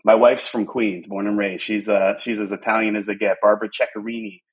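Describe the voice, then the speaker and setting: A man from Pittsburgh in his forties, a podcast guest chatting casually, telling animated stories with frequent restarts and repeated words. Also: lowish voice, brisk, husky. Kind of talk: casual conversation.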